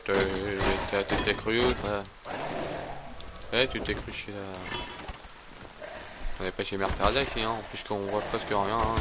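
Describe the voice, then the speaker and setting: A man streaming a game while talking casually, rambling and stammering through repeated words, with a slight hoarseness from a cold. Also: on the low side, heavy background noise. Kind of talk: casual let's play commentary. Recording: slightly dull lo-fi stream audio.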